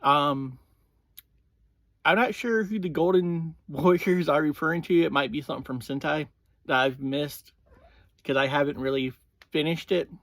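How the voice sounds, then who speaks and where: A man hosting a review series, giving a casual, informal recap in halting phrases that often open with "well". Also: a little high, fairly bright tone, dry recording.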